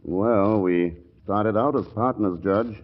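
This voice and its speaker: A low-voiced, dignified artist. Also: male voice, slow pace. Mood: dry, gentle. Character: reserved, dignified male artist on trial. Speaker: actor in a radio play. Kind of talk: 1940s radio comedy acting. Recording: dull lo-fi 1940s radio recording.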